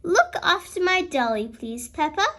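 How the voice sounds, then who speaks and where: A woman's voice: very high-pitched, animated, unhurried, fairly bright tone, full character voice. A woman voicing a kindly, merry old granny pig in a children's storybook.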